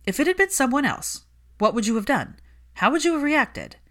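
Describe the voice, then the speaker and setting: A woman's voice: high-pitched, very animated, moderate pace, a bit bright, voice pushed up. A woman voicing a stubborn, frank young witch in a story reading, direct and probing.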